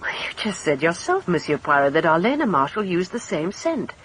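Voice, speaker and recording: A woman's voice: fairly low pitch, sing-song, normal speed, slight background noise, low-quality recording. An actress playing a poised, guarded woman suspect with an English accent in a radio mystery drama. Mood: defensive, uneasy.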